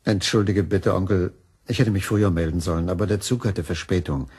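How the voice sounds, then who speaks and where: A man's voice: low-pitched, fairly quick, darkish tone, breathy edge. An actor playing a lovesick, tormented man, polite and brooding, in a radio drama. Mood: weary, despairing.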